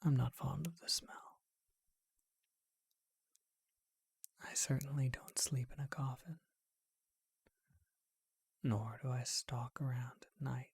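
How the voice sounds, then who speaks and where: A performer voicing a charming, bookish ancient vampire in a whispered ASMR roleplay, speaking in a refined, unhurried, musing way with a breathy voice. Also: medium pitch, steady tone, no room sound, studio quality, heavily acted. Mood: suave, musing.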